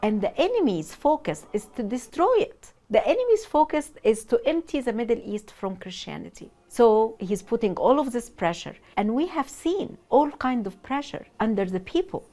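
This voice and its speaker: Earnest Egyptian-born woman, mellow voice. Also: on the high side, very animated, normal speed, few pauses. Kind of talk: interview.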